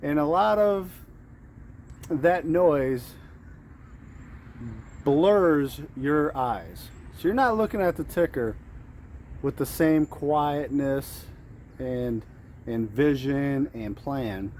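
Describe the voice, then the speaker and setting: A man's voice: on the high side, animated, extremely slow, pauses often, a little mellow, slight background noise. A man giving an informal, off-the-cuff motivational talk as a trading coach, repeating phrases for emphasis. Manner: acts out traders' thoughts, repeats phrases for emphasis. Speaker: day trader giving trading tips. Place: outdoors in the heat.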